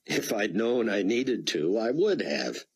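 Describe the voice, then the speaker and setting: A calm young man, patient and forgiving, with a breathy low voice , as the narrator plays him. He talks evenly, with a wry lightness. Audiobook dialogue. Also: slow, full character voice. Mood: gentle. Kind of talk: audiobook character voice.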